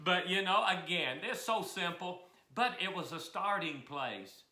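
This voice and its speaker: High-voiced folksy older man in a roomy cabin. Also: lively intonation, a little slow, pushed and bright. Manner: folksy, chatty, self-deprecating. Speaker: music teacher and host. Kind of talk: casual instructional chat. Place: mountain cabin room. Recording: roomy echo. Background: old piano.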